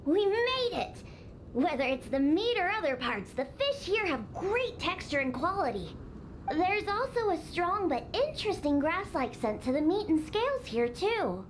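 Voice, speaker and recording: High-pitched, huffy little catgirl bartender. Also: very animated, a little slow, slight background noise, exaggerated voice. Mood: proud, defensive. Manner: huffy, haughty, sulky. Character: grumpy young catgirl bartender who despises alcohol. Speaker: video game character. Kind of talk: animated game voice acting. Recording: music behind the voice.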